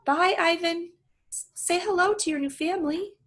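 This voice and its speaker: A woman voicing a warm-hearted, excitable young girl in a children's book reading. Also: very high voice, moderate pace, pitched above the performer's own voice. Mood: wistful. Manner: calling out, tender.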